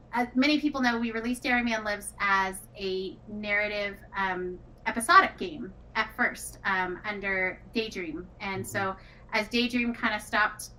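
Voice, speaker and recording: A woman's voice: mid-range voice, sharp tone. A woman who works as a game writer and director, chatting casually in an earnest, reflective manner. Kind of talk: casual developer chat. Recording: clearly noisy background.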